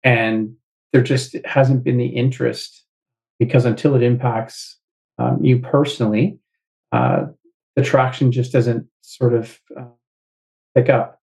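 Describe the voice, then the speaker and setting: A man speaks as an interview guest in a measured, thoughtful way, with fillers along the way. His voice is gravelly and his tone is warm and dark. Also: mid-range voice, lively intonation. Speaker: guest author. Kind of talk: casual interview talk.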